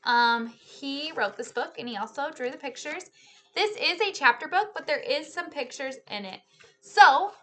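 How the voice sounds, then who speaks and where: A woman speaking as a teacher reading a storybook aloud to young children, with expressive delivery. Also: high voice.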